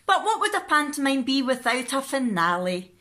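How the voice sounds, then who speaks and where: A woman's voice: sits high, very animated, moderate pace, pushed and bright. A woman in her thirties chatting casually and animatedly to camera as a vlogger in a living room, recorded at arm's length. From Scotland. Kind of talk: casual vlog chat to camera. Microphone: phone or camera at arm's length.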